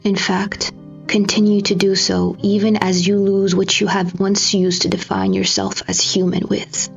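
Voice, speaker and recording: A woman giving a dramatic scripted narration, grave and theatrical, with deliberate phrasing. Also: medium pitch, level tone, vocal fry. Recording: slightly dull recording with music behind. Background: music.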